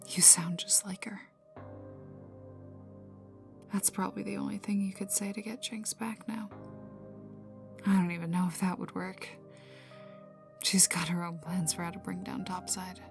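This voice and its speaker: A woman voicing a tough, bitter, sardonic young female villain in an intimate ASMR audio roleplay, often dropping into breathy half-whispers and swearing. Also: medium pitch, flat delivery, moderate pace, long pauses, lightly acted. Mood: wary, intense. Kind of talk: intimate ASMR roleplay, one side of dialogue. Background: ambient music.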